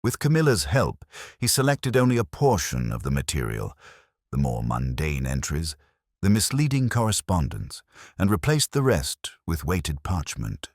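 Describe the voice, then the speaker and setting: Very deep male storyteller, expressive delivery. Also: normal speed, dry recording, studio quality. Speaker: narrator of a detective story. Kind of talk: scripted dramatic audiobook narration.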